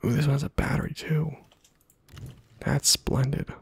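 A man giving casual, playful ASMR game commentary in a hushed, breathy half-whisper, muttering to himself close to the microphone. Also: a little high, steady tone, moderate pace, dry recording. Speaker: gaming video host.

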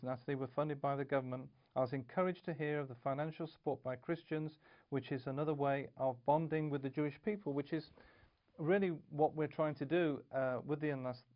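A middle-aged man co-hosting a TV programme who reads viewer emails aloud and speaks in an earnest, measured way. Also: mid-range voice, lo-fi sound. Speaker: co-host. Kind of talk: presenting to camera, reading viewer emails. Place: studio set with bookshelves.

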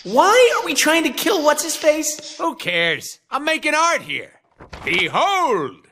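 An actor voicing a manic, foul-mouthed, wisecracking mercenary antihero in a video game, brash and goofy. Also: male voice, very high voice, huge pitch swings, takes it slowly, slight background noise, heavily acted. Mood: sardonic. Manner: brash, goofy, swooping from mutters to shrieks. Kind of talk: video game cutscene voice acting. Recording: loud music and effects behind.

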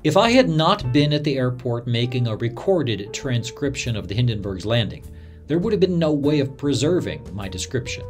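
An earnest radio newsman acted by a man looks back on his career in the first person. His pitch moves in a lively way at a middle height, and music plays underneath. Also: moderate pace, natural voice. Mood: earnest recollection. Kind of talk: dramatised first-person recollection.

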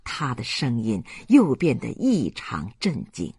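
Slow, husky male audiobook narrator. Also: medium pitch, wildly swooping pitch, a little mellow, no echo, studio quality. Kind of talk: dramatic literary narration.

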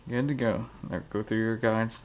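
A man's voice: on the low side, steady tone, moderate pace, highs cut off, slight background noise. A man hosting a fishing channel, casually demonstrating a knot in his garage while counting the steps aloud. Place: cluttered garage, indoors. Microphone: head-mounted action camera.

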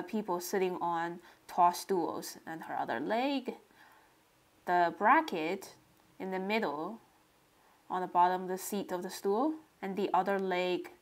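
A woman narrating a relaxed drawing tutorial step by step, describing what she does as she draws. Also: mid-range voice. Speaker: sketching tutorial host.

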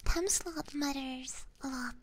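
A woman hosts a casual ASMR stream in a soft, mostly whispered voice. Her pitch is high and she talks slowly, close to the microphone. There is clear background noise behind her. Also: low-quality recording. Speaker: live stream host. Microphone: close binaural ASMR microphone.

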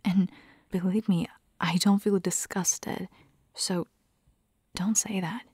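A woman playing a caring, teasing vampire hunter girlfriend in an intimate ASMR roleplay, whispering breathily and coaxing gently. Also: mid-range voice, steady tone, slow, breathy edge, no echo, studio quality. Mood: earnest, tender.

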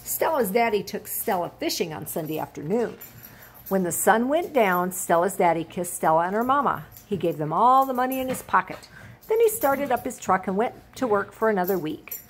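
An older woman reads a children's story aloud as storyteller. Her pitch moves with lots of expression, and there is faint noise behind her at home. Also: lively intonation, moderate pace. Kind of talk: reading a children's picture book aloud. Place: indoors, at a desk at home.

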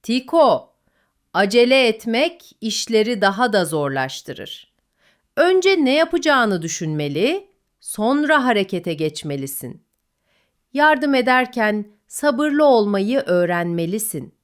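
Wise storybook owl, calm teacher tone, lively pitch, woman reads it. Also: takes it slowly. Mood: advising.

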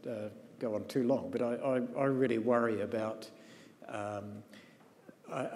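A man's voice: lowish voice, darkish tone. A man speaking on a conference panel, hesitant with frequent restarts and fillers, recorded on a stage in a large hall. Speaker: panelist demographer. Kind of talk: panel discussion. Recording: long hall reverb, faint room noise.

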